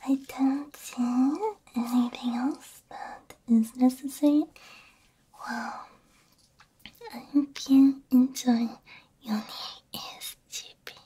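A woman whispering gently and soothingly in an ASMR roleplay as a repair technician, breathy and close to the microphone. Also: high-pitched, steady tone, slight background noise. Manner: gentle, soothing, unhurried, soft hums between phrases. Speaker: ASMR VTuber playing a robot repair technician. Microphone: close binaural ASMR microphone.

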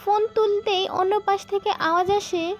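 A woman's voice: extremely high pitch, steady tone, normal speed, soft background music. A woman reading a scripted romantic story as its narrator.

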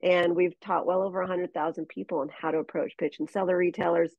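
A woman's voice: fairly low pitch, steady tone, quick pace, vocal fry, no room sound, narrow, tinny audio. A middle-aged American woman coaching viewers in a direct, explanatory instructional talk to camera. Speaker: retail sales coach.

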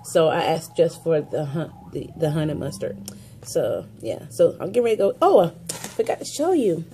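A woman's voice: low voice, sing-song, normal speed, warm tone, slight background noise. A woman in her forties with a stuffy head cold, chatting to the camera in a casual daily vlog, filmed at arm's length. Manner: chatty, laughs easily, talks to camera. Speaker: vlogger. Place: office, car and home, indoors. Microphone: phone or webcam at arm's length.

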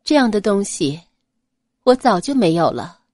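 A composed young noblewoman from an audio novel speaks calmly and holds her feelings back, as a woman reads her. Her voice moves in a lively way, and the recording is close and dry. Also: mid-range voice, moderate pace, dry recording. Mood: cool. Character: cool, guarded young noblewoman. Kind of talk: audiobook character voice.

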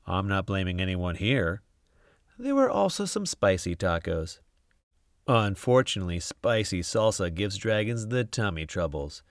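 A man reading a children's picture book aloud, expressive and theatrical, with dramatic drawn-out emphasis. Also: on the low side, no room sound, clean studio sound. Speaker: storybook reader.

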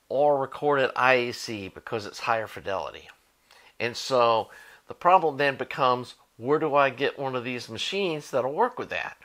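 Male, middle-aged, American accent, medium pitch. Hobby electronics host, conversational and unscripted. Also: fairly bright tone. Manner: conversational, informal, thinking aloud. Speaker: host of an audio electronics channel. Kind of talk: unscripted explainer to camera. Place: indoor home workshop with shelves of gear.

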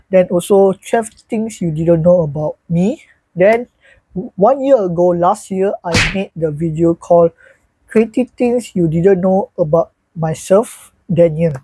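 Guy in his early 20s vlogging from his bedroom, husky voice, dry close sound, keeps saying so yeah. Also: high voice, mellow, no room sound, rough recording. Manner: chatty, loose, repeats "so yeah" and "alright, guys". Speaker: YouTuber. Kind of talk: casual vlog talk to camera. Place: small bedroom with a fan, indoors, seated.

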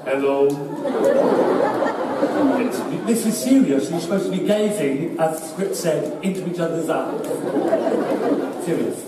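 Echoey distant theatre, cheeky lovelorn panto servant, high-pitched, exaggerated, chatty, playing to the crowd. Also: male voice, animated, unhurried, pauses often, very noisy. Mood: indignant, teasing. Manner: exaggerated, chatty, mock-exasperated. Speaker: pantomime comic actor. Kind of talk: stage pantomime comedy, playing to the audience. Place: large theatre stage. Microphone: distant audience camera.

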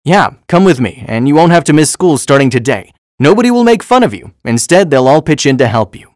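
A man reading the part of a kindly, concerned older school bus driver in a story, gentle and reassuring. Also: on the high side, big pitch swings, brisk, no echo, studio quality. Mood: encouraging. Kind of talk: storybook dialogue.

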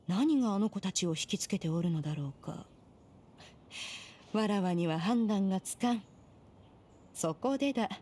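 A woman's voice: medium pitch, dark tone, husky, natural voice. A woman playing a proud, aloof immortal master in an anime game, speaking in imperious, formal, archaic language. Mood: reflective, solemn. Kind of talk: Japanese anime game voice acting.

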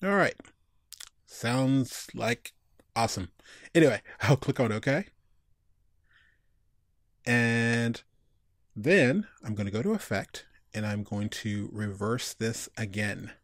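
A man's voice: medium pitch, lively intonation, slow pace, pauses often, fairly bright tone, dry recording, studio quality. A man giving a casual screencast tutorial, informally talking through each step and thinking aloud. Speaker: tutorial instructor.

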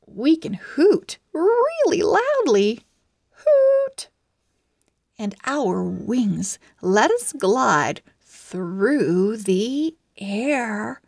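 An enthusiastic little girl owl shares her storybook diary, acted out by a woman reader. The voice is fairly high, with big lively swings in pitch and a very slow, exaggerated delivery for small children. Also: vocal fry, no echo, clean studio sound, heavily acted. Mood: excited. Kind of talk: children's storybook read-aloud.

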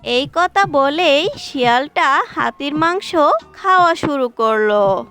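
A woman's voice: high-pitched, animated, takes it slowly, fairly bright tone. A woman narrating a scripted children's story as the storyteller.

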